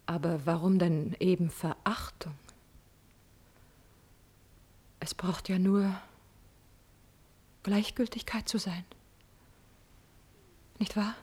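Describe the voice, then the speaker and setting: Radio play: spurned noblewoman, husky, measured delivery, proud, wounded, insistent, theatrical swings into whispering. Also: fairly low pitch, fairly even intonation, darkish tone. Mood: bitter brooding. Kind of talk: classical German stage radio drama.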